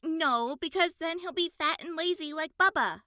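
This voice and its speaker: A woman's voice: extremely high pitch, normal speed, bright, forceful tone, no room sound, studio quality. A female performer playing a self-assured, matter-of-fact young girl in an audio drama, with a childlike manner. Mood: decided.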